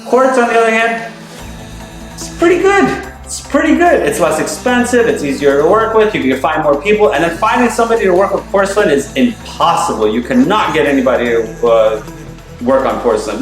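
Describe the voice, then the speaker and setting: A man's voice: mid-range voice, lively intonation, brisk. A man from Afghanistan walks through a home and talks loosely and emphatically, restarting his sentences as he goes. His voice bounces around the bare, echoey rooms, and faint music plays underneath. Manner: rambling and emphatic, with frequent restarts and mild swearing. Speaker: mortgage broker giving a home tour. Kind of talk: casual walkthrough vlog. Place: empty furnished house indoors.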